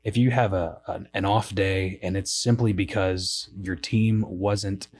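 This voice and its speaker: A man's voice: deep voice, steady tone, darkish tone. A man in his late twenties hosting a casual worship podcast, upbeat and joking, asking questions and giving advice. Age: 20s. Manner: upbeat, joking, quick asides. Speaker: podcast host, worship leader.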